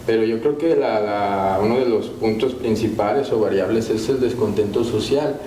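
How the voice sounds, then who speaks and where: A panelist feels his way through his answer, pausing and filling gaps as he goes. His voice is mellow and relaxed. The studio adds echo, with a faint hiss behind. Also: on the low side. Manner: hesitant, many fillers. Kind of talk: debate answer. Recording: echoey studio with faint noise.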